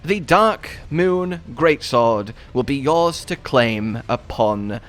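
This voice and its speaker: A man's voice: medium pitch, expressive, takes it slowly, heavy background noise. A man giving an enthusiastic video game guide voice-over in a chatty, wordy, playfully dramatic way.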